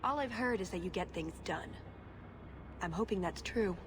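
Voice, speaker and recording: A woman's voice: medium pitch, normal speed, noisy background, close to an everyday voice. A woman playing a confident, wry elven assassin-thief in a video game cutscene, teasing and coolly self-assured. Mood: composed, persuasive.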